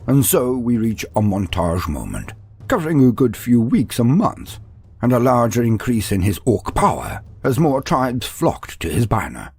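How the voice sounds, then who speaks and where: A man's voice: medium pitch, very animated, normal speed, a little mellow, slightly rough. A man narrating a fantasy story in a dramatic, theatrical, grandiose style with wry asides. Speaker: narrator of a fantasy lore video. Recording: music under the voice. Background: background music.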